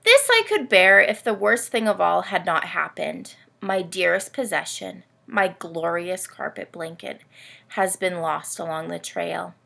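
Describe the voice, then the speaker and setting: A woman's voice: fairly low pitch, animated, a little slow, creaky, pushed and bright, full character voice. A woman reading a storybook as a pompous, long-suffering male camel, dramatic and plaintive. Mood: plaintive, aggrieved. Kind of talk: storybook read-aloud.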